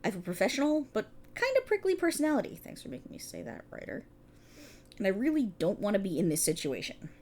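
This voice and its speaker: A woman's voice: medium pitch, big pitch swings, fast talker, hardly any pauses, a little mellow. A woman playing a prickly, exasperated police officer in a scripted ASMR roleplay, talking in a wry, bossy, explanatory way to an unheard partner. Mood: dry, grudging. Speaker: voice actor in audio roleplay. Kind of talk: scripted ASMR roleplay, one-sided dialogue.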